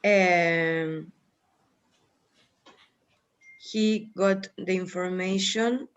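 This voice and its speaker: A woman with a Spanish accent talks through a group assignment on a video call. She speaks slowly and hesitantly, thinking aloud as she goes. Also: on the low side. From Spain. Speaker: student in a group meeting. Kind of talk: casual online meeting.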